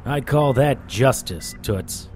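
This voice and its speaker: A man's voice: mid-range voice, expressive, slow pace, darkish tone. An actor playing a sardonic vampire-hunting private eye, telling his story in a dramatic noir audio drama style, over music. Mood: defiant, sardonic. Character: hard-boiled, wisecracking male vampire-hunting private eye. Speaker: narrator and lead actor. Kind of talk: first-person noir audio drama narration. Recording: music bed under the voice.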